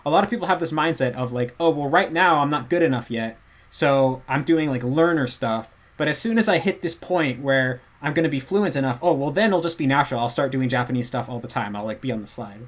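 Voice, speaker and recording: A man's voice: medium pitch, brisk. A man, a guest in a casual chat, earnestly explaining at length. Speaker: guest language learner.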